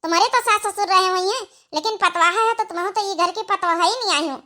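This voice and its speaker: A woman's voice: extremely high pitch, fast, pushed and bright. A woman playing an outspoken young village daughter-in-law with an Awadhi accent in a cartoon comedy, arguing her point repetitively. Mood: reproachful. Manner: argumentative, repetitive.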